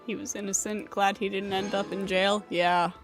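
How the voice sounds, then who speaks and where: A woman's voice: medium pitch, little pitch movement, normal speed. A woman game streamer giving casual live commentary and reading game lines aloud. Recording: faint game music behind the voice.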